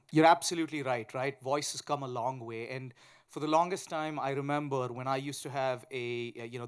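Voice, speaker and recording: A man's voice: mid-range voice, smooth. A man with an Indian accent explaining technology step by step as a guest speaker at a conference, often checking in with a tag question. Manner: explains step by step with examples, often tags "right?". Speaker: tech company chief executive, conference guest speaker. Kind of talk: explanatory conference interview talk. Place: live on stage at a conference.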